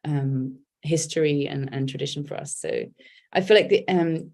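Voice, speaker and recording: A woman talks about her creative work in a relaxed interview. Her voice sits low, and her speech is reflective and candid, with frequent fillers and restarts as she gathers her thoughts. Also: normal speed. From England. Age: 40s. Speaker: guest, poet and writer.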